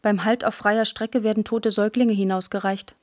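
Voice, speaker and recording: Woman narrator, mid pitch, reading lit prose for radio, terse and plain. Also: steady tone, normal speed, darkish tone. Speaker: narrator in a radio literary feature. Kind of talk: scripted literary reading.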